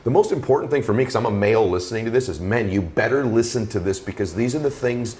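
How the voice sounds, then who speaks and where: Deep-voiced effusive male podcast host. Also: fast, slight background noise. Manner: effusive, animated, heaps on praise. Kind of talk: enthusiastic interview chat.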